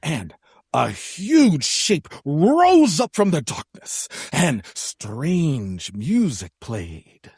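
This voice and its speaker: A woman playing a theatrical, excitable, food-loving adventurer with a flowery, melodramatic way of talking in a sci-fi audio drama. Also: low-pitched, extremely slow, slightly rough, no room sound, studio quality, heavily acted. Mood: dramatic recounting. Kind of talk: science fiction audio comedy drama.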